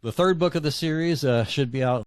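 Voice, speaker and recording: A man's voice: on the high side, fairly quick, smooth, dry recording, studio quality. A man answering interview questions as an author, conversational and hesitant, often rephrasing as he goes.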